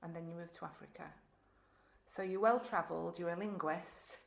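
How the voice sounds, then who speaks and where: A woman's voice: fairly low pitch, steady tone, normal speed, creaky. A woman hosting a casual, conversational interview, often rephrasing and affirming what she hears. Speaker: interview host. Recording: echoey, roomy sound.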